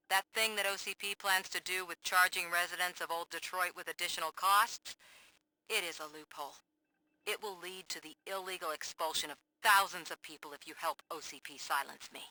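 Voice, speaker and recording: Investigative reporter, game cutscene, piercingly bright voice, distrustful, pointed delivery, dry sound. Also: female voice, lowish voice, no echo, poor audio. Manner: pointed, challenging. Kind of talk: video game cutscene acting.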